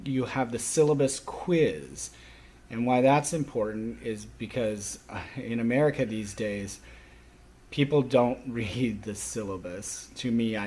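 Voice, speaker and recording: A man with a fairly low voice gives a spoken orientation to a class. His phrasing is halting, stopping and starting, and he leans on the openings of his phrases. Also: a little mellow.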